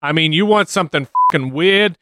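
A man's voice: fairly high pitch, fairly quick, pushed and bright, no echo, clean studio sound. A man co-hosting a comedy podcast, swearing freely and teasing his co-host in casual banter. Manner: crude, profane, teasing.

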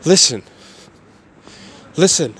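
A man of twenty-one giving a motivational personal monologue in a halting, repetitive, emphatic way. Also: fairly bright tone, a little hiss or hum.

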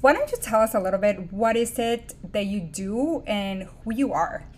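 A woman's voice: mid-range voice, big pitch swings, normal speed, a little mellow. A woman hosting a podcast, reading a rhythmic scripted intro and then chatting casually with her guest. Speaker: podcast host.

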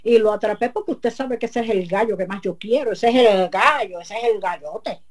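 A woman's voice: medium pitch, normal speed, close to an everyday voice. A woman voicing a sheepish cheating husband with a Puerto Rican accent, rambling through excuses.

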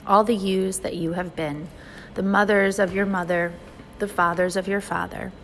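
A woman's voice: lowish voice, a little hiss or hum, poor audio. A woman reading a poem aloud in a recitation style. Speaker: poetry reader.

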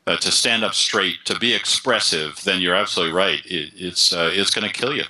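A male voice coach being interviewed, his pitch very deep but his tone strikingly bright and forceful. He explains things with confident authority, often repeating words as he restarts a phrase. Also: fairly quick, low-quality recording.

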